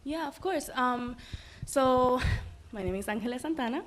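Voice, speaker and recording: A woman's voice: high voice, expressive, normal speed, slight background noise. A young woman from the Dominican Republic, a musical guest chatting casually in an interview, upbeat and full of ums. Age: young adult.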